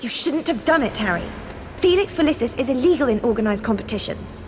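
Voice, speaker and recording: A woman's voice: fairly high pitch, lively intonation, quick pace, faint music behind, natural voice. An actress playing a prim, reproving, clever teenage schoolgirl in a video game.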